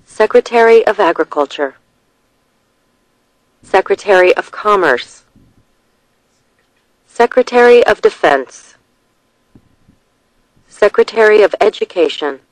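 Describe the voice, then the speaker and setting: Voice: mid-range voice, lively intonation, slow pace, pauses often, no echo, rough recording. Dry, close reader of quiz questions. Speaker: test study narrator. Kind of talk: scripted reading of civics questions and answers.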